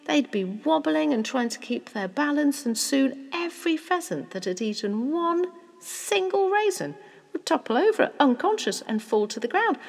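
A woman voicing an excitable, scheming and affectionate father of a young boy in a children's storybook, exclamatory and shifting between hushed and booming. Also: normal speed, gravelly, long reverb tail, heavily acted. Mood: dreamy excitement. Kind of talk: children's storybook character acting.

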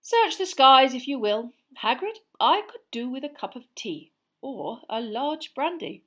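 A woman's voice: high-pitched, very animated, measured pace, a bit bright, pitched above the performer's own voice, full character voice. A woman doing Dumbledore, an amused old wizard. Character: old wise male headmaster, calm and amused.

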